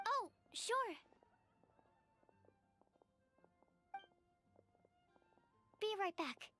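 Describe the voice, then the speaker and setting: A woman's voice: very high-pitched, lively intonation, unhurried, sharp tone, faint music behind, exaggerated voice. A woman playing a shy, earnest young alchemist in a video game, speaking in precise, scholarly wording. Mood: obliging. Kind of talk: animated video game voice acting.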